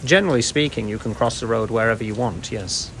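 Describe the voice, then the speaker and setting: Deliberate British man, noisy recording. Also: mid-range voice. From United Kingdom. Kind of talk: conversational interview answers.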